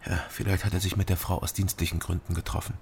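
An actor playing a dogged East German private detective in a radio crime drama, gravelly, laconic and probing. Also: male voice, low voice, level tone, fast, darkish tone. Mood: probing, matter-of-fact.

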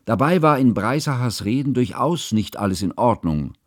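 An actor playing a mild, cultured schoolteacher who narrates his memoir in a radio drama, measured, bookish and reflective. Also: mid-range voice, animated, no room sound, studio quality, natural voice. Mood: regretful, reflective.

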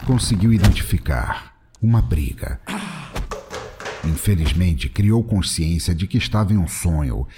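A man's voice: sits low, expressive, unhurried, noisy background. A man with a Brazilian accent narrating a scripted comic story in a solemn, dramatic way. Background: music.

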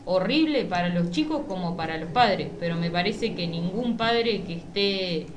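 A woman's voice: fairly low pitch, animated, moderate pace, noisy background. Argentine woman in an echoey room. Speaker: radio programme contributor. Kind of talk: informal opinion discussion.

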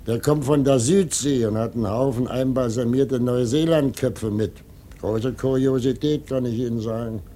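Radio drama innkeeper male actor: husky, mellow, chatty and sly, unbothered by anything. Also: medium pitch, normal speed, natural voice. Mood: soothing, wry. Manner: chatty, repeats himself, chuckling dryly. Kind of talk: German radio play acting.

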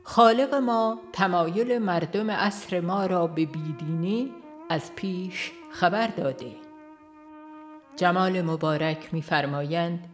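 A woman reading a devotional book aloud in a slow, earnest, reflective way. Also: fairly low pitch. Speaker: audiobook reader. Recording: faint instrumental music behind.

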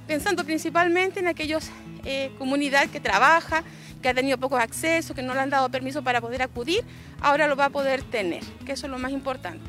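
High-pitched Chilean woman in interview. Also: fast talker, fairly bright tone. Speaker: health official being interviewed. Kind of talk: spontaneous interview talk. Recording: music behind the voice. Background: faint music.

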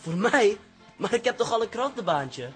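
Confident, cheeky teen paper boy, polite, high voice, radio comedy. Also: very animated, moderate pace, soft background music. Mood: puzzled, polite. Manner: polite, gently mocking. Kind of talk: radio comedy drama acting.

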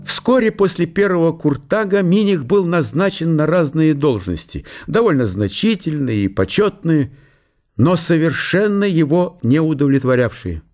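A man's voice: fairly high pitch, lively intonation, normal speed, a little mellow, soft background music. A man narrating a historical radio drama in a dramatised literary style.

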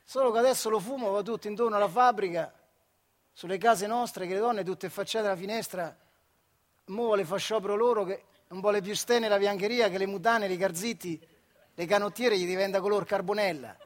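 An actor playing a proud, stubborn factory worker, telling his life in a colloquial central Italian dialect, animated and full of rhetorical questions. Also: high-pitched, a little fast, lightly acted. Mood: wry, animated. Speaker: actor-narrator. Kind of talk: theatrical storytelling monologue for radio.

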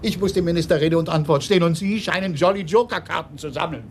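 An actor playing an irritable, blustering senior police chief in a German crime radio play, indignant and stammering. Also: male voice, a little high, fast talker, a little hiss or hum, close to an everyday voice. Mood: exasperated.

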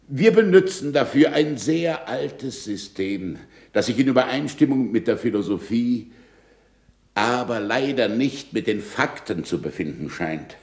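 An actor playing an earnest astronomer in a 1960s German radio play, speaking in a formal, deliberate and emphatic way. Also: male voice, medium pitch, a little mellow, roomy sound.

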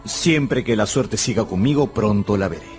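A man's voice: on the low side, expressive, normal speed, faint music behind, close to an everyday voice. An actor playing a passionate gambler, narrating his luck and obsessive love in a fervent, literary radio drama style. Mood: earnest, sorrowful.

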